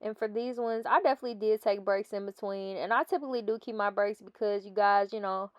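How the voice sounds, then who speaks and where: A woman's voice: mid-range voice, fairly even intonation, fast, dry recording, clean studio sound. A young woman with a stuffy nose, chatting casually as a fitness vlogger over her workout footage and often calling her viewers "guys.".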